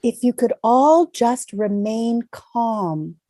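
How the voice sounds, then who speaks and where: A woman playing a polite, controlled hostess calming passengers in an amateur drama table read, formal and placating. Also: fairly high pitch, takes it slowly, dry recording, clean studio sound. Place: online video call table read.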